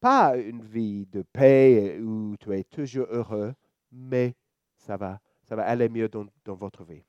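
Slow, mellow Australian man preaching. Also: on the low side, clear, resonant tone, dry recording, muffled recording. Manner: relaxed, joking, halting with many fillers and restarts. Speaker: church elder preaching. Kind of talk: sermon.

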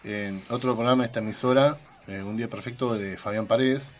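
A man's voice: medium pitch, fairly quick. A man with an Argentine Spanish accent hosting a conversational radio interview, speaking discursively with emphatic asides.

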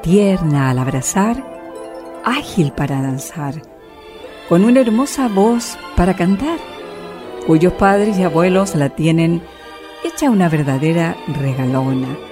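A Chilean woman narrates a radio story in a low voice with a very lively melody. Her delivery is deliberate and expressive, set over clear background music.

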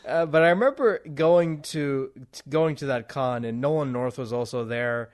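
A man's voice: medium pitch, moderate pace. A man interviewing a guest in a casual chat, telling stories and laughing as he talks. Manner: storytelling, laughs while talking.

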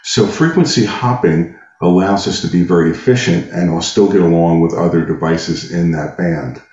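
A man with a deep, bright voice gives methodical technical explanations as an interview guest. He talks conversationally and reaches for everyday comparisons. The recording is noticeably roomy. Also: moderate pace. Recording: dull, roomy sound.

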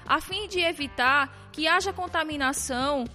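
A woman playing a nursing professor in an amateur audio drama, speaking didactically and deliberately. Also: sits high, bright, forceful tone, faint music behind. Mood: instructive. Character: woman nursing professor, calmly instructive with her students. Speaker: teacher. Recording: long reverberant hall echo.

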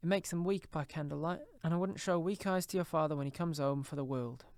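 A man voicing a gentle middle-aged mother in a storybook reading, tender, steady and faltering. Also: on the high side, a little fast, clear, resonant tone, no room sound, studio quality, pitched above the performer's own voice, natural voice. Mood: tender, subdued. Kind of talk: audiobook character voice.

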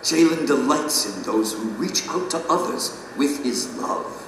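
A distant, echoing voice fills a great hall with faint noise. It is a reverent male guide in an audio drama, gentle and formal, measured in every phrase. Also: fairly high pitch, animated. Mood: earnest gratitude.

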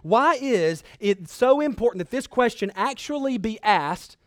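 Guy preaching a sermon, kinda high voice, dry sound, really earnest, leans on key words w big pauses. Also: animated, a bit bright, no echo. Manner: emphatic, with dramatic pauses and repetition; earnest, exhortatory.

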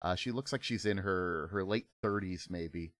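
Hoarse man running a tabletop horror game. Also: sits low, moderate pace, dry recording. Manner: relaxed, conversational, filler-heavy. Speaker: game master.

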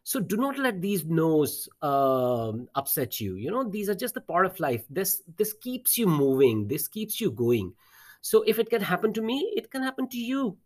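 A man's voice: high-pitched, sing-song, moderate pace. A middle-aged man whose first language is Urdu, a math teacher telling a personal story as an interview guest, earnest and encouraging, often repeating words as he searches.

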